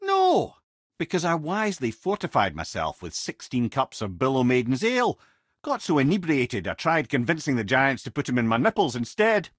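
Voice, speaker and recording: A Scottish actor voicing a witty, talkative old male sage in a video game, with a husky voice and a wry storyteller's manner. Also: mid-range voice, wildly swooping pitch, normal speed, no room sound, clean studio sound. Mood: boisterous, amused.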